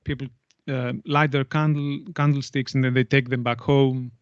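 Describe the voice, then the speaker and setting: A man's voice: mid-range voice, little pitch movement, moderate pace, smooth, no room sound, highs cut off. A man explaining things conversationally as an interview guest, in hesitant, stop-start phrases with repeated words. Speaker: podcast guest, anthropologist.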